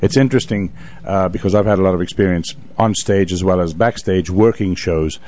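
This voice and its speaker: A man's voice: deep voice, a little fast, darkish tone. A man hosting a radio show in a conversational style, speaking as a stage performer would between pieces.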